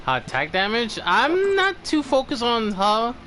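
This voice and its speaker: Male game streamer, notably high voice, halting and conversational, slight noise behind him. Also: wildly swooping pitch, bright, forceful tone. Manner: halting, thinking aloud. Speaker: game livestream host. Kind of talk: casual livestream chat.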